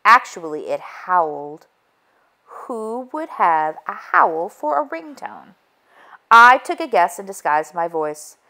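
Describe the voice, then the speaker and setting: A woman reading a children's storybook aloud in an expressive storytelling style. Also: mid-range voice, slow. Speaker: read-aloud narrator.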